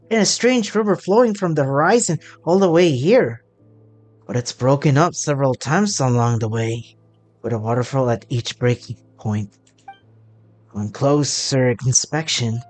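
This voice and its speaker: A man plays through a story game, reading and commenting as he goes. His speech is slow and halting, with widely moving pitch and mumbled asides, over faint game music. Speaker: streamer playing a story game.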